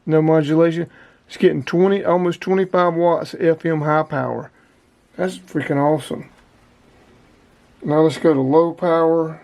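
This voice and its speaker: A man's voice: a little high, fairly even intonation, moderate pace, gravelly, long pauses, a little mellow. A man giving a casual, plain-spoken product review as the host, talking informally to his viewers. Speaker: channel host reviewing radio gear.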